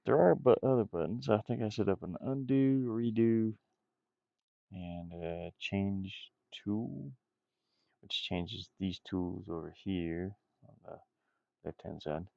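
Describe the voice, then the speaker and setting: A man's voice: deep voice, takes it slowly, gravelly, darkish tone, dry recording, dull audio. A man giving casual, rambling commentary while he works on game art, muttering playfully to himself and repeating words. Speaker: indie game developer streaming.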